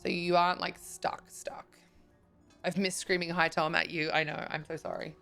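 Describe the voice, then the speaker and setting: A woman with a croaky, gravelly voice talks casually through a live game stream, chatting with and thanking her viewers. Her voice sits on the low side, and faint music plays behind her. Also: level tone, normal speed, fairly bright tone. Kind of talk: casual live-stream chat while gaming.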